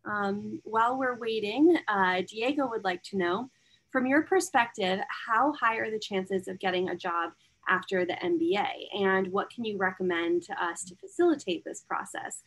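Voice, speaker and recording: A woman's voice: medium pitch, normal speed, a bit bright, low-quality recording. A young woman hosting a virtual open house from a home room on a webcam, upbeat, with frequent ums. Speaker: event host. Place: home room with framed pictures. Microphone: laptop webcam mic.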